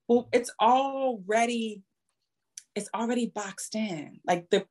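A middle-aged woman explaining her views at length in conversation, emphatically, with rhetorical questions and checking tags. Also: mid-range voice.

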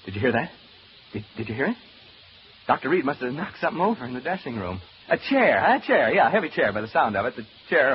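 Jittery, rambling man, animated and higher-pitched. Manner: nervous, stammering, rambling, giggly asides to listeners. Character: jittery, rambling radio broadcaster alone in a haunted house, slowly unraveling. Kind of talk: old-time radio horror drama acting. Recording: muffled old radio recording, background hiss.

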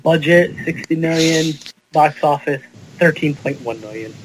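A man's voice: fairly high pitch, unhurried, a little hiss or hum, rough recording. A man hosting a casual podcast, reading out facts in a conversational way. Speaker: podcast host presenting film facts.

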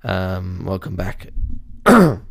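A young man with a hoarse voice hosting a podcast, delivering a rambling, deadpan, self-pitying comedic monologue into a mic with a pop filter. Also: sits low, extremely slow, no room sound, studio quality. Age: young adult. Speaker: podcast host.

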